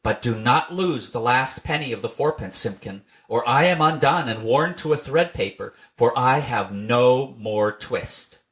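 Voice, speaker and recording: A man's voice: medium pitch, expressive, a little slow, few pauses, fairly bright tone. A man voicing a poor, weary old tailor from a storybook, muttering to himself in old-fashioned phrases. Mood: weary, pleading.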